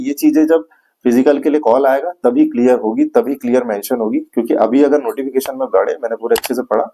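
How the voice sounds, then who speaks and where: Mellow-voiced Indian man explaining. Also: mid-range voice. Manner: explanatory, repeats figures, mixes in English words. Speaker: physical training academy presenter. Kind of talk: informal explainer to camera for exam aspirants.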